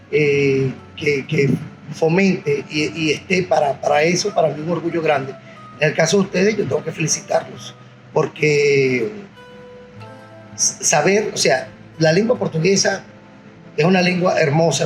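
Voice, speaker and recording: A Venezuelan man answering interview questions in a relaxed, rambling way, repeating words and filling pauses with "este". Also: fairly high pitch, rough recording. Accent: Venezuelan Spanish. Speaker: interviewee, singer of Portuguese music.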